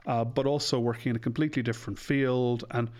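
Man, Irish accent, forties, chatty, self-deprecating, medium pitch. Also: fairly even intonation, fast, darkish tone. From Ireland. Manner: chatty, self-deprecating, thinks aloud with restarts. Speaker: fertility doctor, guest. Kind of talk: casual podcast interview.

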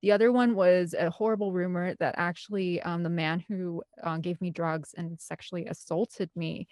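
A woman speaks as an interview guest in a slightly low voice, recorded close and dry. Her delivery is conversational and candid, wandering through asides in an earnest way. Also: steady tone, moderate pace, a little mellow, dry recording. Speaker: interview guest, film composer and director.

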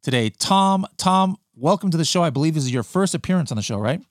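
A man hosting a podcast, upbeat and encouraging, quick with questions and back-channel replies. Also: a little high, animated, no echo, clean studio sound. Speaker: podcast host. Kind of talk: casual podcast interview.

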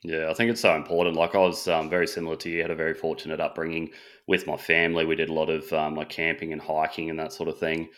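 A man's voice: a bass voice, monotone, fast talker, a bit bright. A man hosting a podcast, asking questions and agreeing with his guest in a friendly, casual way. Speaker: podcast host. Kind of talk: interview questions and casual chat.

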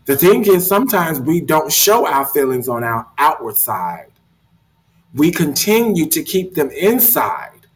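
A man leading a casual motivational discussion, earnest and animated, often repeating words as he thinks. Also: a little high, a little slow.

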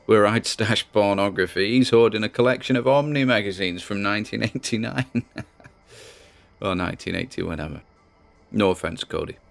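A deep-voiced, haunted man confides in his private audio log. He is hesitant and brooding, with a dry, wry edge, and faint music sits behind him in this audio drama. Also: vocal fry. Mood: wry, reflective. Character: anxious, haunted grandson recording private audio logs. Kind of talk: audio drama monologue as a confessional diary.

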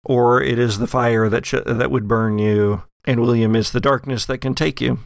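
A man narrating a horror tabletop game as game master, in a deliberate, descriptive, suspenseful storytelling way. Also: medium pitch, steady tone, vocal fry, dry recording, studio quality.

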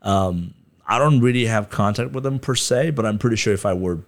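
A man's voice: lowish voice, brisk. A man from Darjeeling with a slight Darjeeling accent, chatting casually as a podcast guest, laid-back and laughing often while he acts out quotes. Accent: Darjeeling Nepali. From Darjeeling, India. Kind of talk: casual chat.

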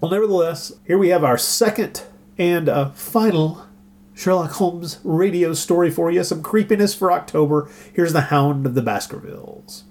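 A man's voice: fairly high pitch, moderate pace, darkish tone, slight background noise. A man co-hosting a podcast with casual, rambling, wry commentary. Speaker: podcast co-host.

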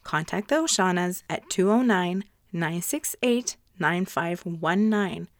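A woman's voice: fairly low pitch, animated, takes it slowly, no room sound, studio quality. A woman speaking as a podcast host, presenting to listeners and interviewing a guest. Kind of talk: scripted podcast introduction and sponsor reads, then interview questions.

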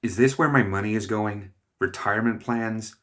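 A man's voice: lowish voice, moderate pace, a bit bright, voice pushed up, lightly acted. A man voicing a defiant young woman who speaks bluntly.

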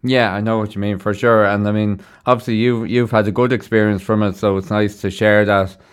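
A man's voice: on the low side, steady tone, fairly quick, clean tone. A man with an Irish accent hosting a casual radio interview in a studio, chatty and encouraging. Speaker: radio show host.

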